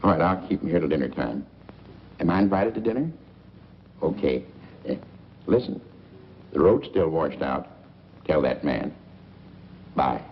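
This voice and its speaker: An actor playing a gruff, grumbling, folksy small-town newspaperman in 1940s film style, on a muffled old soundtrack. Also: very deep voice, expressive, unhurried, long pauses, slight background noise, exaggerated voice. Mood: grumbling, friendly.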